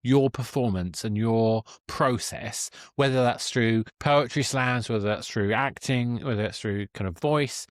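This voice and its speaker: A man in his fifties speaks as a podcast host in an earnest, reflective and discursive way. His pitch is average for a man, and the recording is dry with little room around it. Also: a little slow, hardly any pauses, no room sound. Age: 50s. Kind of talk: conversational interview, reflective summing up.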